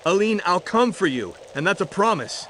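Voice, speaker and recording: A man's voice: high-pitched, sing-song, moderate pace, noisy background. An actor playing a determined, protective male investigator in a video game, speaking in a firm, terse and reassuring way. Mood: calm, reassuring. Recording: music behind the voice.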